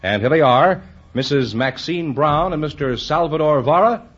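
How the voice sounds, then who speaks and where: A man announcing a radio quiz show, reading the opening in a booming, showman-like delivery. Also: moderate pace, husky. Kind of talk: scripted broadcast announcing. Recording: muffled 1950s radio transcription with music.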